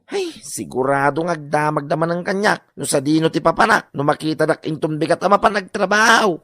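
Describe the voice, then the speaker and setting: A bright, sharp voice from an actor plays an exasperated worker in an Ilocano comedy drama, grumbling and exclaiming about nosy neighbours. The sound is close and dry. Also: mid-range voice, fairly quick, dry recording, natural voice.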